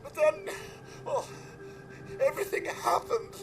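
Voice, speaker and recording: A man's voice: very high-pitched, animated, a little slow, vocal fry, background noise, heavily acted. A male actor playing a formal, fretful robot butler in a video game, with a theatrical British accent. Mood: tearful, distraught. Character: fussy, devoted, emotional robot butler. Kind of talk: video game character acting.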